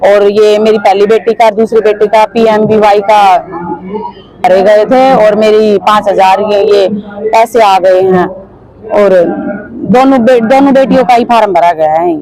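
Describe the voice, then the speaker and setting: A woman from a village in Haryana, giving a short, plain testimonial that is slightly halting. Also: mid-range voice, fairly even intonation, crowd noise, rough recording.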